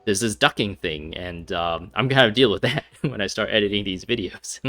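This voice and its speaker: A man's voice: lowish voice, normal speed, pushed and bright. A young man giving casual let's-play commentary, rambling and hesitant in a chuckly way, close to the mic. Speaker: gaming YouTuber. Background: faint game music.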